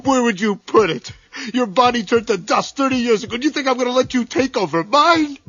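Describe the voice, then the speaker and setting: An actor playing a desperate, terrified man possessed by his dead brother, anguished, halting and pleading in a horror radio drama. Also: very high-pitched, lively intonation, a bit bright, no room sound, dull audio, full character voice. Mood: defiant.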